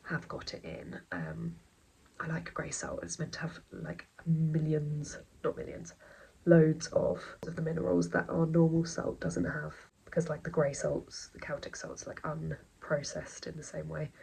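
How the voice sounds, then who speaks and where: A young woman with a blocked nose, chatting casually and rambling in a soft voice that often drops near a whisper. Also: low voice, creaky, husky, rough recording. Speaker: vlogger.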